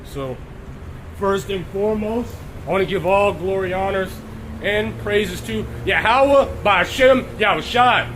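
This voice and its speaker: Hoarse, high-voiced man preaching on a stream. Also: unhurried, a bit bright, slight background noise, poor audio. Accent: Texas. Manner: clears throat often, greets viewers by name. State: hoarse, recovering from illness. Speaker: street preacher streaming live. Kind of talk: live-streamed preaching with scripture reading.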